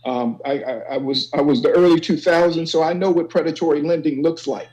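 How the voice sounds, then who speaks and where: Male guest advisor, voice on the higher side. Informal, instructive explainer who checks the listener understands. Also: steady tone, brisk, darkish tone, rough recording. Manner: instructive, checks understanding with "okay" and "you know". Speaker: college financial aid advisor, guest. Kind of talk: informal explanatory panel talk.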